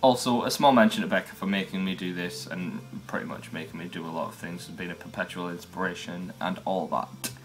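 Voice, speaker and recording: A man's voice: low voice, steady tone, fairly quick, few pauses, soft background music. Chatty young Geordie man, wry asides. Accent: Newcastle Geordie. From North East England. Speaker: vlogger. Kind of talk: casual first video blog.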